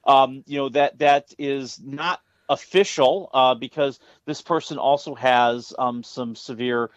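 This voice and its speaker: A man's voice: mid-range voice, steady tone, a little slow, dry recording, muffled recording. A man giving a conversational entertainment report as a radio guest, telling stories in a chatty, emphatic way.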